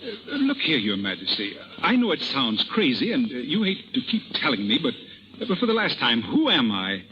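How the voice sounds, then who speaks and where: An actor playing a roguish, passionate poet-thief with theatrical delivery in a 1930s radio drama. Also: male voice, mid-range voice, huge pitch swings, moderate pace, background noise. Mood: bewildered. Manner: theatrical, impassioned. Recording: dull 1930s radio broadcast.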